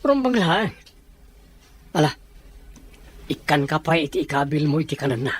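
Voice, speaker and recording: A woman's voice: low voice, expressive, normal speed, slight background noise. A woman playing a greedy, cunning accomplice in an Ilocano radio drama, with a Filipino accent. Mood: pushy, greedy.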